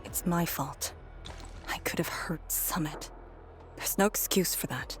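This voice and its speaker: A woman who leads a military squad in a video game. Her voice sits on the low side, tense and hard on herself. Faint music plays behind her. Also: measured pace, a bit bright. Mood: apologetic, subdued. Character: tense, self-blaming woman task leader of a military squad.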